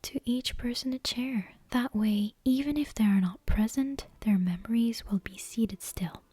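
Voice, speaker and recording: A woman's voice: fairly high pitch, unhurried, warm tone, slightly rough, no room sound. A woman voicing an earnest, stubborn little witch girl from a storybook in a whisper.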